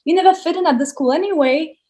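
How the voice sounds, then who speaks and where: A woman's voice: very high voice, fast, warm tone. A woman playing an anxious, loyal but tactless schoolboy best friend, casual and awkward, in a theatrical table read. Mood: awkward candour.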